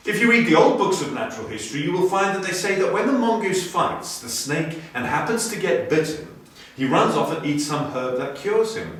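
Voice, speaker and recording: A man reading a story dramatically, moving between hushed and emphatic delivery. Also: sits high. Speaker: story reader. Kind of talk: expressive storybook narration. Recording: very echoey room, distant, faint noise.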